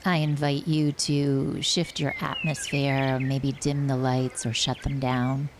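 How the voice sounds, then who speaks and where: Slow, low-voiced woman guiding meditation. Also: little pitch movement, noisy background. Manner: soothing, gentle, unhurried with long pauses. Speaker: meditation guide, yoga teacher. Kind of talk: guided yoga nidra meditation.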